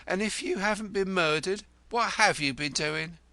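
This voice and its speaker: A man voicing a shrill, sharp-tongued landlady who scolds her lodger. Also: normal speed, no room sound. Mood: scolding.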